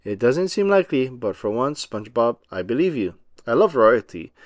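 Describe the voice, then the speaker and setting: A man's voice: mid-range voice, sing-song, moderate pace, dry recording, clean studio sound, lightly acted. A man reading aloud and voicing a grumpy, snobbish adult male squid who talks gloomily and scolds.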